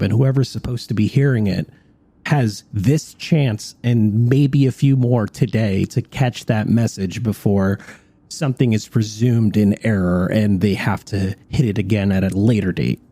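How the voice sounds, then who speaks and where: A man's voice: lowish voice, unhurried, dark tone. A man hosting a radio show in a studio, moving between chat and part-scripted narration.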